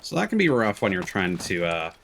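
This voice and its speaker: A man's voice: deep voice, wildly swooping pitch, brisk, vocal fry, fairly bright tone, slight background noise. A man giving casual, easygoing gameplay commentary as a streamer, musing aloud in an amused way. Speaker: game streamer. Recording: game music behind the voice. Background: video game music.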